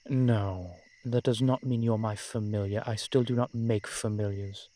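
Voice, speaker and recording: Guy doing an old vampire warlord for rp audio, lowish voice, really slow n courtly, proud, protective, talks right to the listener. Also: fairly even intonation, mellow, natural voice. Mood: reassuring. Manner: measured, archaic, courtly phrasing with dramatic pauses. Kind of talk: roleplay audio drama, addressed intimately to one listener.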